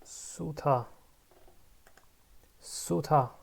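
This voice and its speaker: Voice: mid-range voice, slow, gravelly, low-quality recording. A language learner in a casual vlog, repeating words over and over, correcting themself and muttering. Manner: muttering to self, bursts of excitement. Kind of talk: casual pronunciation practice vlog.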